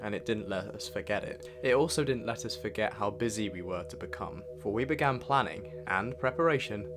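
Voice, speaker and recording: A man reading a scripted, reflective year-in-review narration in a measured way as a vlog narrator. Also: lowish voice, background noise.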